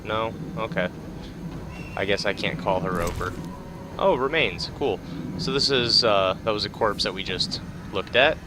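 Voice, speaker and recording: A man's voice: on the low side, a little slow. A man giving casual video game commentary in a laid-back, hesitant, rambling way, sometimes reading out tips. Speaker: game player commentating a playthrough. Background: game music.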